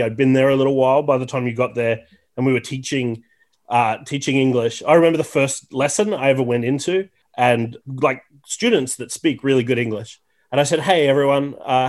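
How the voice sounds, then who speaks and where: A man in his thirties with an Australian accent, talking as a guest on a podcast. He chats in a relaxed way, with plenty of "um"s, and pokes fun at himself. His voice sits at an ordinary middle pitch for a man. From Tasmania, Australia. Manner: self-deprecating, chatty with many fillers. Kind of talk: casual chat.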